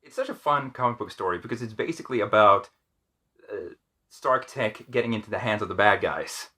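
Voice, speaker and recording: A man's voice: lowish voice, expressive, brisk, pushed and bright. A man co-hosting a fan discussion, talking in a casual, conversational way. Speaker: co-host of a fan discussion show.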